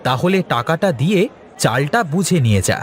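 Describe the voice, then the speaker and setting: A man's voice: on the high side, big pitch swings, fairly quick. A man voicing a practical, placating village trader and husband in a cartoon. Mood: confident, businesslike. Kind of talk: cartoon dialogue acting.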